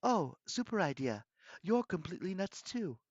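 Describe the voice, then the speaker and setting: A man voicing a sharp-tongued, defiant young girl in a storybook reading, blunt and indignant. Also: high voice, animated, normal speed, no room sound, dull audio, voice pushed up. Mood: sarcastic.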